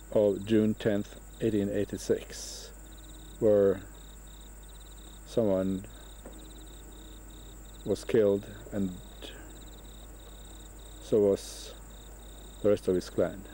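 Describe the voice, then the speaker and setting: A man talks slowly and haltingly over a home video, his voice low and very dark. It was caught on a camcorder outdoors by a lake, with a faint hiss and hum behind him. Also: little pitch movement. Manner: slow, halting commentary with pauses. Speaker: travel narrator.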